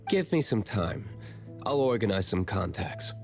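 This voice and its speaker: A man playing a stern, cynical young tavern owner in a video game, speaking in a measured, blunt way. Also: lowish voice, animated, gravelly, darkish tone, faint music behind. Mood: cool, appraising. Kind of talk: scripted game voice acting.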